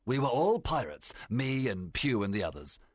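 A man voicing a sly, genial pirate ship's cook in a storybook, husky and ingratiating. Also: medium pitch, sing-song, normal speed, no echo, studio quality. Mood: confiding. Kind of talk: storybook character dialogue.

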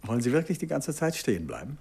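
A urbane gentleman detective from a 1950s radio play. His voice is husky and swings expressively in pitch. He is courteous and calmly insistent. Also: fast, heavily acted. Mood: courteous, persuasive. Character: suave, calmly insistent male detective novelist and amateur sleuth. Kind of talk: 1950s German radio drama acting.

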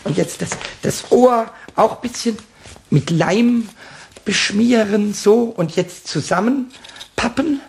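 An actor playing a mischievous, childish little male kobold in a radio play, with a high squeaky, giggly voice that stammers when he gets excited. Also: takes it slowly, creaky, heavily acted. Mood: frantic. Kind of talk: 1960s radio play acting.

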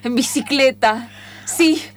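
A woman's voice: high voice, slow pace, dry recording. A woman playing a dreamy, naive young woman cyclist in improvised radio theatre, emotional and hesitant, with an Argentine accent. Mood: excited.

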